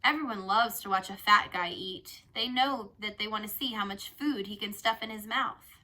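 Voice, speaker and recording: A woman's voice: medium pitch, normal speed, pushed and bright. A woman voicing a shy, self-conscious, reserved schoolboy in a children's storybook. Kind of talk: storybook character reading.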